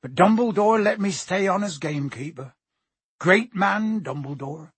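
Male narrator as gruff giant gamekeeper, very raspy, raised pitch, folksy, hearty, proud, storybook character. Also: lively intonation, unhurried, no echo, full character voice. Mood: sheepish, confiding. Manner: folksy, colloquial, dropped words.

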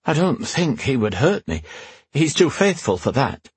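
A man's voice: mid-range voice, big pitch swings, a little slow, husky, no echo, pitched above the performer's own voice, heavily acted. A man voicing a stubborn, playful young warrior queen in an audiobook fantasy story, proud and tender. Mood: earnest, defensive. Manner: playful, teasing, regal when commanding.

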